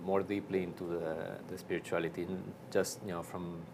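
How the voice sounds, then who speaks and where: A man's voice: deep voice, level tone, brisk, noisy background. A man from Argentina with an Argentine Spanish accent, a priest telling his story in a casual interview, with many fillers and restarts.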